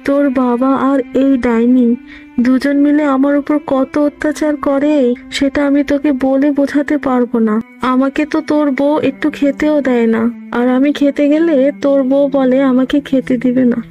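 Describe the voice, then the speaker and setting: Woman acting spiteful village mother-in-law, high-pitched, accusing, self-pitying, cartoon dub, faint music behind. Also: steady tone, normal speed, dark tone, lo-fi sound, close to an everyday voice. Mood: wailing, self-pitying. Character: spiteful, complaining middle-aged mother-in-law of a village household. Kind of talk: Bengali fairy-tale cartoon dub.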